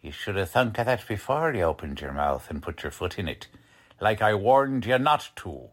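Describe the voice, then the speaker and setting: An actor playing a gruff, bossy, uneducated police lieutenant with blustery, ungrammatical speech in a 1940s-style radio drama. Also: male voice, fairly low pitch, sing-song, moderate pace. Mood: pressing, sardonic.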